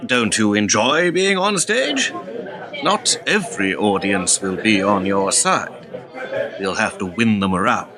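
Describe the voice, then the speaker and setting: A man's voice: lowish voice, animated, unhurried. A man playing a wry, chatty character in conversational radio play dialogue. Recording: loud crowd and background noise.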